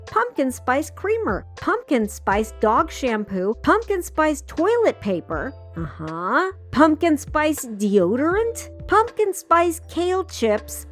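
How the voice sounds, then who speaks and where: A woman hosting an educational podcast, reading scripted narration with wry, playful asides. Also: a little high. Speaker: podcast host.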